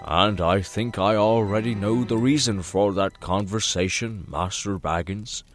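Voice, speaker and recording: A man playing a tired, shrewd old hobbit patriarch in an audio drama, speaking in a drawn-out, emphatic way. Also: fairly low pitch, faint music behind, natural voice. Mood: knowing. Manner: slow, drawn-out, emphatic delivery.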